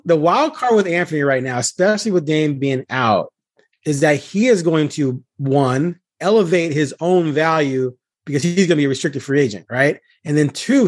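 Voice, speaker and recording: A man's voice: fairly high pitch, dry recording, clean studio sound. A man hosting a sports podcast, talking conversationally and emphatically, with punchy phrases and rhetorical questions. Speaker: podcast host, sports beat reporter.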